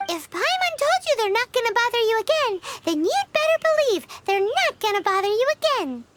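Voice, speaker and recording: A woman's voice: very high voice, very animated, brisk, very noisy. A woman voicing a tiny, chatty fairy-like girl companion who is bossy and cheeky in a game. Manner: bossy, chirpy, speaks of herself by name. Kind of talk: game dialogue.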